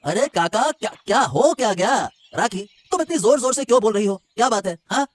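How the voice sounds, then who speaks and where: A man voicing a meddling, placating servant of a rich household in a cartoon story, excitable and chatty. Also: high voice, fairly quick, no room sound. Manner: excitable, chatty, brushing off worries. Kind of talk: Hindi cartoon story dialogue.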